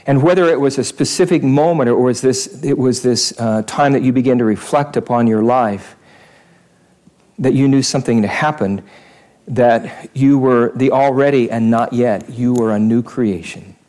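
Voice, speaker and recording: A man's voice: mid-range voice, normal speed, warm tone. A male pastor giving a conversational sermon in church, repeating phrases for emphasis.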